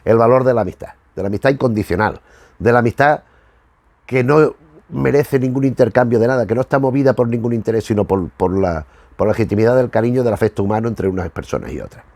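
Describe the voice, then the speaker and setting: A man's voice: mid-range voice, quick pace, darkish tone, no room sound. A middle-aged man with a Spanish accent from Spain giving casual commentary in a digressive way, often repeating and rephrasing himself as he goes. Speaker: host of a retro television review.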